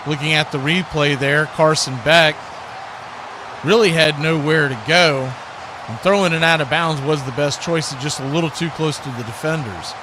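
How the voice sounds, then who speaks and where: A man's voice: on the high side, moderate pace. A man calling live football play-by-play for a radio broadcast. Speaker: play-by-play announcer. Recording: clear background noise.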